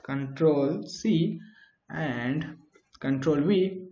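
A man's voice: fairly high pitch, extremely slow, warm tone. Man explaining code in an echoey room. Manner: talks through each step, often adding "right?"; frequent restarts and fillers while thinking aloud. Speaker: coding tutor. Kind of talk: screen-recorded coding tutorial. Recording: echoey, dull home recording.

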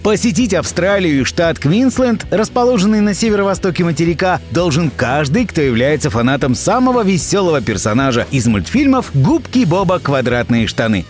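A man's voice: fairly high pitch, sing-song, a little fast, few pauses. A man reading a scripted, upbeat list-video narration in an enthusiastic, emphatic way. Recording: voice-over with music bed. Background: background music.

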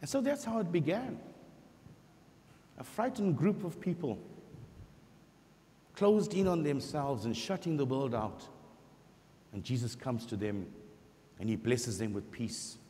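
Middle-aged priest preaching in a reverberant church. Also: male voice, medium pitch, a little hiss or hum. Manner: expressive preaching, voicing scripture quotes, slow emphatic pauses. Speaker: parish priest. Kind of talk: sermon. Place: large church sanctuary before the altar.

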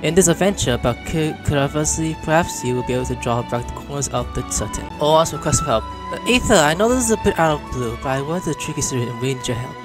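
Young guy streaming a game, playful, voice jumps around, loud music behind him. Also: fairly high pitch, fast talker, hardly any pauses. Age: young adult. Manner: playful, animated. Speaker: gaming video host. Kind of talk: casual let's play commentary, reading dialogue aloud. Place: small room at home. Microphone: close desk microphone with headset.

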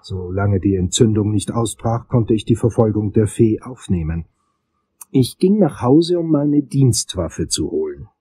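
A man playing a hard-boiled investigator, narrating a crime audio drama in a husky, dramatic, suspenseful way. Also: fairly low pitch, expressive, measured pace, warm tone, slight background noise. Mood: tense, brooding. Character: hard-boiled male investigator telling his dark case.

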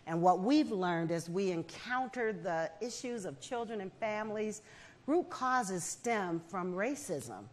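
A woman in her fifties, a foundation head, speaking in a measured, earnest way as a guest on an indoor festival stage with a lapel mic. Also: medium pitch, lively intonation. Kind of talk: onstage festival conversation.

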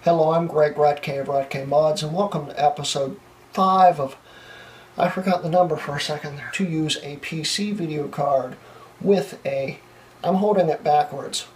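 A man's voice: on the high side, steady tone, normal speed. A young man hosting a tech vlog, stumbling through retakes, laughing at his mistakes and losing his voice. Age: young adult. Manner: flustered, laughing at his own slip-ups. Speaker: tech channel host. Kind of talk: blooper reel of a tech vlog. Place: small home room full of computers. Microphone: camera mic from a few feet away. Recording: distant camera mic, faint room hiss.

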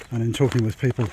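A man vlogging as he walks a long pilgrimage route, talking through his plans in a rambling, thinking-aloud way. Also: medium pitch. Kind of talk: casual walking vlog. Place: outdoors on a rural dirt track. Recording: noisy outdoor walking recording. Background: rural outdoor noise.

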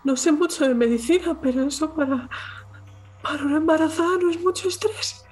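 A woman's voice: high-pitched, fairly quick, a little mellow. A woman with a Castilian Spanish accent narrating her character in first person as a player in a tabletop game.

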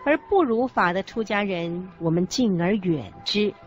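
A solemn Buddhist explainer played by a woman speaks slowly and emphatically over soft music in a radio drama. Her intonation is lively, and the recording is slightly dull. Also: medium pitch, lightly acted. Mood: solemn, emphatic. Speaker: co-presenter in a radio lecture drama. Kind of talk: scripted radio drama dialogue, expository. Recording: dull lo-fi audio with background music.

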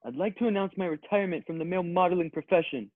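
A man playing a dim, vain, earnest male model in a comedy table read, speaking solemnly and haltingly. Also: high-pitched, no echo. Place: indoors at home on a video call. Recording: narrow, tinny phone-like call audio.

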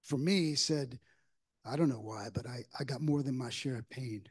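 A man in his sixties preaching a reflective, informal, conversational sermon with halting pauses into a lectern microphone in a church. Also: medium pitch, slightly rough. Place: church sanctuary, at a wooden lectern. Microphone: microphone on a stand at the lectern.

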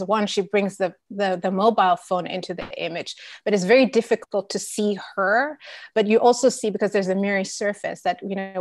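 A woman's voice: mid-range voice, dry recording. A middle-aged woman who grew up in Canada, speaking as a curator on a video call in an articulate, reflective way. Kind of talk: panel discussion on video call. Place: room at home. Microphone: video call with earphones.

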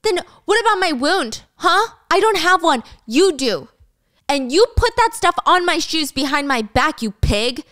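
A woman voicing a fiery, confrontational young woman with a sharp tongue and urgent, indignant delivery. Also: fairly high pitch, fairly quick, hardly any pauses, natural voice. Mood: accusing.

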